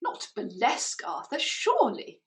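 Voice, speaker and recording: Woman as a genteel young english victorian lady, adoring, high lively voice, echoey room, radio drama. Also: moderate pace. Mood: concerned. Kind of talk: radio play acting.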